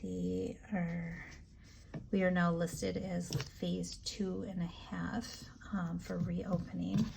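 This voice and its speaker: A woman with a raspy, allergy-hoarse voice chatting casually as she decorates her planner, relaxed and full of ums. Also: on the low side, noisy background. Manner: slow, relaxed, chatty with frequent ums and asides. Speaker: planner vlogger. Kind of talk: casual plan-with-me narration.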